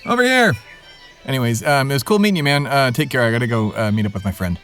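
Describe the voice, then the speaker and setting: A man's voice: medium pitch, lively intonation, fast talker, a bit bright, faint music behind, lightly acted. A man playing a casual, testy podcast producer and sidekick in a comedy audio drama, talking informally and hesitantly. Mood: casual, puzzled.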